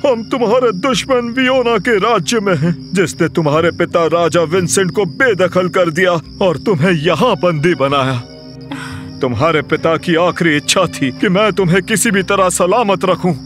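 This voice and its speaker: A man voicing a fawning, scheming magical guardian in an animated fairy tale, with drawn-out, pleading flattery. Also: high-pitched, soft background music. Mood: earnest, persuasive. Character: fawning, scheming magical male guardian deceiving royal children.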